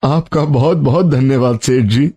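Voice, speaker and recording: An actor voicing a humble, honest poor labourer in an animated moral story, speaking in an earnest, deferential and emotive way. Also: male voice, mid-range voice, unhurried, soft background music, natural voice. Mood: humble, grateful.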